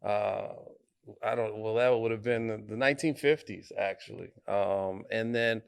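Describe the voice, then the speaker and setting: A man from Detroit, a guest artist, answering interview questions in a thoughtful, halting way, with many fillers and restarts. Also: on the low side, steady tone, gravelly, clear, resonant tone. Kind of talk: conversational interview.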